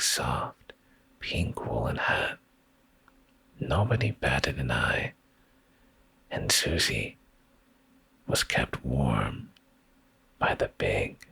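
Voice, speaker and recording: Male ASMR narrator for bedtime stories: heavy vocal fry, breathy whisper, deliberate pauses, expressive pitch movement. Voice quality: breathy, gravelly low whisper. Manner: slow, hushed, deliberate delivery with pauses.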